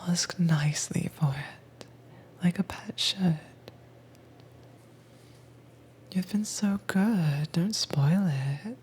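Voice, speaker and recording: A woman playing a dominant, teasing mistress in an intimate roleplay audio, soft-spoken and coaxing but commanding, with a breathy, whispery voice. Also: lowish voice, takes it slowly, a little hiss or hum. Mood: firm, teasing. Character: dominant, teasing mistress training her werewolf pet.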